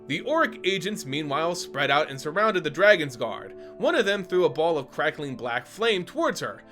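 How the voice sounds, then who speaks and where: Animated, bright-voiced man reading dramatically. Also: on the high side, normal speed, soft background music. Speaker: storyteller. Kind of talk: dramatic reading of a fantasy story.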